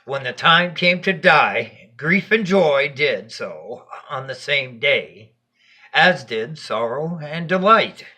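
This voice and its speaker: A man tells a bedtime story with a husky voice pitched on the high side. His delivery is unhurried and dramatic, with wide swings in pitch. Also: animated, sharp tone. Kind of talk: expressive bedtime story narration.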